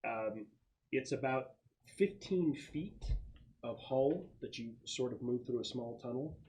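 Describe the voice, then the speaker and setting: A man running a tabletop game as game master, describing scenes off the cuff and pausing as he searches for words. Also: mid-range voice, animated, a little mellow, rough recording. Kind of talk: improvised tabletop game narration.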